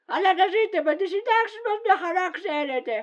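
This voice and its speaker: A woman acts out a vain, grumbling old grandfather in a comic audio drama. The voice is extremely high, querulous and teasing. The audio is dull and lo-fi. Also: expressive. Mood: indignant.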